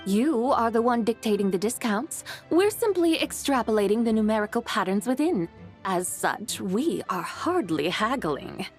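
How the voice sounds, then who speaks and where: A woman voicing a calm, dignified, literal-minded scholarly woman in a video game, speaking in a formal, measured, matter-of-fact way. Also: on the high side, faint music behind, lightly acted. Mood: composed, courteous.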